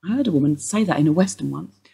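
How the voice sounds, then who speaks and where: An actress playing an older woman being questioned by police, guarded and wry in a husky voice. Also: sits low, expressive, fast talker, mellow, exaggerated voice. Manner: guarded, dry, wistful. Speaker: police interview suspect. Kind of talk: radio play acting.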